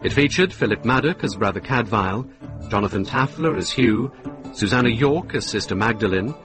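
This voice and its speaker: A man's voice: medium pitch, animated, normal speed. A man reading closing credits as a scripted radio announcement. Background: music.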